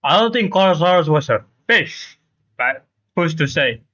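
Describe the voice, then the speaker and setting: Higher-pitched man streaming, muffled mic. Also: expressive, moderate pace, sharp tone. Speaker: live streamer. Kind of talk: casual game livestream chat.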